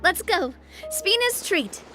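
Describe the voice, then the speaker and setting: A woman's voice: very high voice, sing-song, takes it slowly, exaggerated voice. A woman playing a sociable, take-charge young woman in a video game, breezy and rallying. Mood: upbeat. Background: music.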